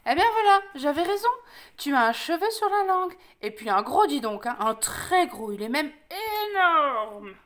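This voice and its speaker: A woman's voice: high-pitched, animated. Woman as a cheeky, bossy little rabbit. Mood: smug. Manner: brisk, bossy, instructing, colloquial. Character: small cheeky bossy rabbit, fearless male trickster.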